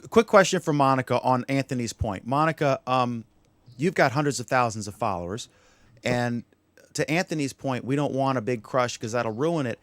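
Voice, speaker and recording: A man's voice: medium pitch, normal speed, no room sound. A man hosting a casual online panel chat, asking long, winding questions full of restarts and fillers. His voice sits in a middle range and sounds dry and close, with no room around it. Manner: rambling, self-interrupting questions. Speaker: show host. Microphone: video call.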